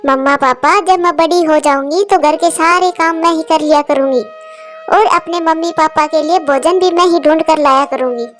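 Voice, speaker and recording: A woman's voice: high voice, normal speed, soft background music, lightly acted. A woman voicing an innocent, trusting little girl bird in a cartoon story, talking in a simple, earnest, childlike way. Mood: sweet, eager. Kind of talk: cartoon moral-story dialogue.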